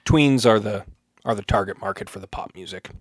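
A man co-hosting a podcast, telling things casually in a halting, self-aware way. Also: fairly low pitch, dry recording, clean studio sound. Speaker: podcast co-host.

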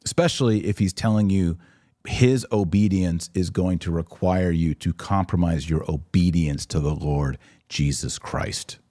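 Deep-voiced, bright man, emphatic commentator. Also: measured pace, no room sound, clean studio sound. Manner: emphatic, didactic, conversational. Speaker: podcast host and commentator. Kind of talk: live religious commentary, answering listener questions.

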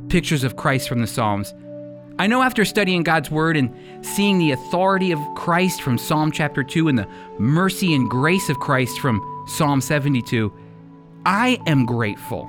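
A man hosting and teaching on a radio Bible program, speaking in an earnest, emphatic, sermon-like way. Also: a little high.

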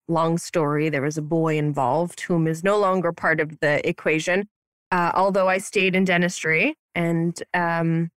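A woman's voice: fairly low pitch, a bit bright, dry recording, studio quality. A woman in her thirties from Romania, a dental hygienist and practice owner, chatting playfully on a podcast with lots of slang and "like". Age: 30s. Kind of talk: casual podcast chat. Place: her office upstairs at her practice.

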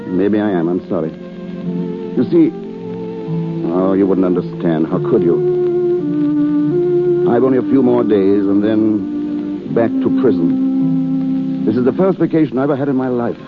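A man's voice: fairly low pitch, very animated, measured pace, pauses often. An actor playing a nervous, lovestruck working man on holiday in a 1930s radio drama. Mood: frustrated. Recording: tinny 1930s radio recording with music.

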